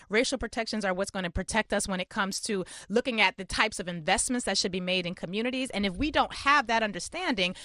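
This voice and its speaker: A woman advocate explaining her position in a discussion, persuasive and animated, now and then laughing between points. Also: medium pitch, dry recording, studio quality. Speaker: community advocate.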